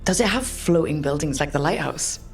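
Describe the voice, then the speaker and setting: An actress playing a curious, teasing young adventurer in a fantasy video game, speaking in a casual, dry way. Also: female voice, sits low, animated, normal speed, creaky, soft background music.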